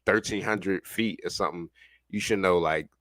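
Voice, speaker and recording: A man's voice: deep voice, moderate pace, a bit bright, no echo. A man giving casual, rambling, slangy reaction commentary with an amused manner. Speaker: reaction streamer.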